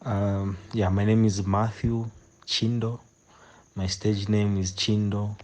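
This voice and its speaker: A man's voice: fairly low pitch, level tone, slow, a little mellow. A man in his twenties with a Zambian accent, answering interview questions as a guest poet in a hesitant way, often repeating phrases as he thinks. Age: 20s.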